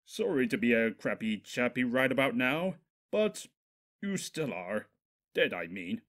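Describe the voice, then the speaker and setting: A man's voice: mid-range voice, lively intonation, unhurried, a bit bright. A man voicing a cheerful, smug male pony with a mocking streak in a comic storybook reading. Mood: casually breezy. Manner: cheerful, showy, nonchalant, mocking. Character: chirpy smug male pony, secretly a gleeful demon. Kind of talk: comedic storybook character voice.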